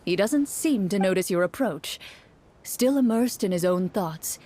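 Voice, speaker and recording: A woman playing a game master who narrates and voices an anxious, pleading townsperson in an expressive, earnest way. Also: medium pitch, measured pace. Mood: narrating, suspenseful. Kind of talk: tabletop story narration and dramatic role-play. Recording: music behind the voice. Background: game music.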